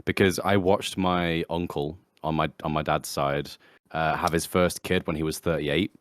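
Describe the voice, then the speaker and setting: A man in his twenties chatting casually on a podcast, thinking out loud in a rambling, reflective way. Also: rumbling low, fairly even intonation, normal speed, no echo, studio quality. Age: 20s. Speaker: podcast guest.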